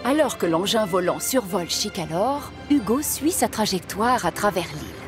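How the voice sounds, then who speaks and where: A woman narrating a cartoon story in a clear, measured storytelling manner. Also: mid-range voice, animated, music in the background.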